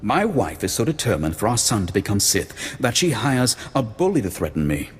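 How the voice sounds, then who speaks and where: Bitter runaway dad, video game, rough raspy voice, animated delivery, hall reverb. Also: male voice, on the low side, moderate pace. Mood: bitter, defiant. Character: defiant, bitter runaway father, failed dark-order acolyte. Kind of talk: video game cutscene voice acting.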